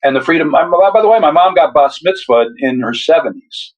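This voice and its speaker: A man's voice: a little high, animated, a little fast. Older man reminiscing, slightly muffled. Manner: rambling, with many restarts and reflective asides. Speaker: guest author. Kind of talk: casual interview conversation.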